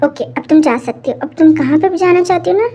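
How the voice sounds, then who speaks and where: Woman doing a fussy doting dad, worried bout his kid, high mellow voice. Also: fast, lo-fi sound. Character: doting father, fussy and affectionate.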